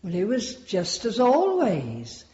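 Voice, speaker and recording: An anxious, humble older maidservant in a radio drama. Her voice is lively in its swings and slow in pace, in an echoey room. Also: medium pitch. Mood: humble, earnest. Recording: echoey courtroom, slightly muffled.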